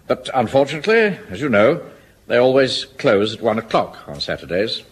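An actor playing a pompous, indignant middle-aged army captain in a radio sitcom. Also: male voice, mid-range voice, sing-song, normal speed, slightly rough. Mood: formal. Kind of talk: 1970s radio sitcom acting. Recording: old broadcast with faint hiss.

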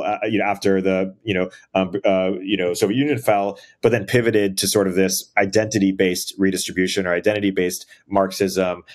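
Low flat-voiced man asking haltingly. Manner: hesitant, lots of fillers and restarts. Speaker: host. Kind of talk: casual interview question. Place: bright home room.

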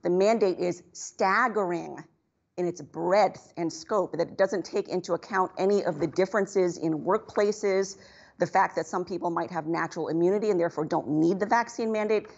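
A middle-aged woman giving measured, explanatory news commentary on a radio show over a muffled, noisy link. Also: fairly low pitch, a little mellow. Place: TV news studio, on video link. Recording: muffled, clearly noisy feed.

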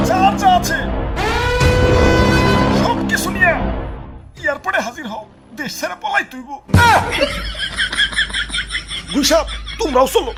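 A woman voicing a vain, excitable lizard boss in a comic cartoon, theatrical and exclamatory, with a Bangladeshi accent. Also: very high-pitched. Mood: alarmed. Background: background music.

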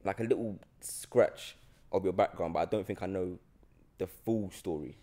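A man's voice: low-pitched, normal speed, warm tone. A young man chatting casually and teasingly as a podcast host. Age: young adult. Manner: joking, teasing. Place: indoor studio room with sofa. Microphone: podcast mic on boom arm.

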